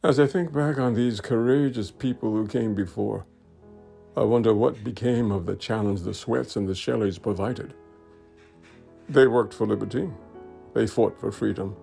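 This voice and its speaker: Man, very creaky gravelly voice, dark and warm tone, deliberate oratorical reading, faint music behind. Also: fairly low pitch. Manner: measured, deliberate, oratorical phrasing. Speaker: actor reading a speech. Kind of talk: dramatic reading of a commemorative speech.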